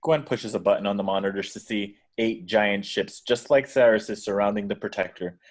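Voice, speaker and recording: Low-voiced man narrating a script. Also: normal speed. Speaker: reader of action descriptions. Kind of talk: scripted narration at table read.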